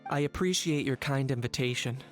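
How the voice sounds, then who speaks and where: A cool young male adventurer from a video game, voiced by a man, composed and dry-witted. He talks in a measured, articulate deadpan at a middling pitch, with faint music behind him. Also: natural voice. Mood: polite, firm.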